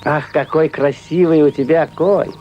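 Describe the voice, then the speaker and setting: A man's voice: a little high, fairly even intonation, a little slow, lo-fi sound, a little hiss or hum, lightly acted. A man voicing a sly, cheerful folk-hero trickster in a cartoon, coaxing in a sing-song way with feigned innocence. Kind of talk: animated film voice acting.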